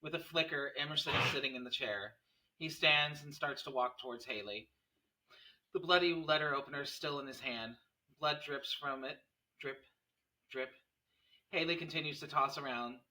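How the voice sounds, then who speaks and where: A man reading stage directions as narrator of a screenplay table read, in short, dramatic, deliberate phrases. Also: medium pitch, sharp tone, poor audio.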